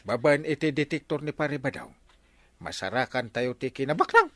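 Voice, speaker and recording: A man with an Ilocano accent playing an excitable, scheming village captain in a Filipino comedy radio drama. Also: medium pitch, moderate pace, no echo. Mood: scheming, eager. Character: excitable scheming male village captain chasing buried riches.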